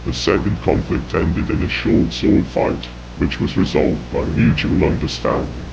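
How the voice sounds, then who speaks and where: Male narrator for scripted horror, deep and heavily fried whispery rasp, grave delivery, muffled noisy audio. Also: a little slow. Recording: dull, noisy lo-fi audio with rumble.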